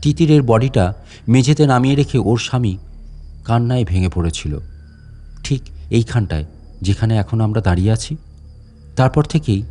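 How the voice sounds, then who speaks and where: A man's voice: lowish voice, mellow. A man playing a menacing old caretaker in a horror audiobook, a grieving, vengeful father who speaks with polite deference and sly questions. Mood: grim, brooding. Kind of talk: dramatic audiobook horror monologue.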